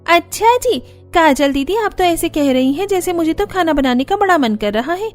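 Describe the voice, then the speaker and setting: Woman voicing a very shrill young cartoon daughter-in-law. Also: expressive, normal speed. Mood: sarcastic, annoyed. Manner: complaining, dramatic. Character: young squabbling daughter-in-law, whiny and indignant. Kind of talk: Hindi cartoon story dialogue. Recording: music under the voice.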